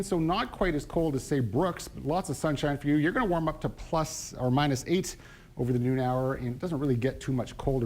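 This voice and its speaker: Lively-voiced male TV weatherman. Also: medium pitch. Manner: lively delivery with frequent "uh" fillers. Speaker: local TV weather and news presenter. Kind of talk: live TV weather and news read. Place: local TV studio.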